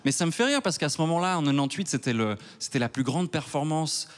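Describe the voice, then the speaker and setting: A man's voice: a little high, expressive, quick pace. Wry Swiss man doing stand-up. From Switzerland. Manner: wry and self-mocking, rising to loud mock outrage. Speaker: stand-up comedian. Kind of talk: stand-up comedy set. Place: large theater stage before a live audience. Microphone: handheld mic.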